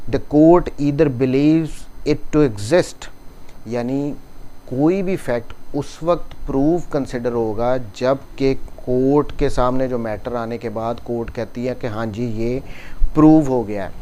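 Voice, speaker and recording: Male law lecturer, Pakistani accent, medium pitch, unhurried delivery, explanatory, code-switches into English legal terms. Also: mellow, low-quality recording. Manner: mixes English legal terms and slowly read passages into explanation. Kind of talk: explanatory law lecture, reading statute clauses and explaining them.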